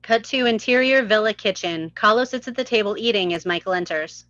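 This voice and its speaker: A woman's voice: medium pitch, fairly quick, a bit bright, no echo. A woman reading stage directions as scripted narration at a live table read. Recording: dull, slightly muffled close audio.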